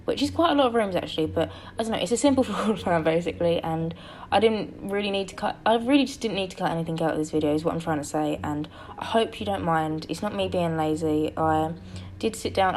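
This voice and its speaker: A young woman, tired and a little croaky, rambling through a casual voice-over about a building game. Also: medium pitch, darkish tone, a little hiss or hum. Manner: rambling, self-correcting, apologetic.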